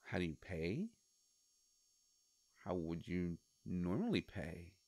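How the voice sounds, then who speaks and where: A man playing a sly devilish shopkeeper in a roleplay audio, coaxing and musing with hesitant pauses. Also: low-pitched, very animated, darkish tone, no echo, full character voice. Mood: wry, coaxing. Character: sly devilish male shopkeeper of a wish-granting store. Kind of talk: scripted roleplay audio monologue.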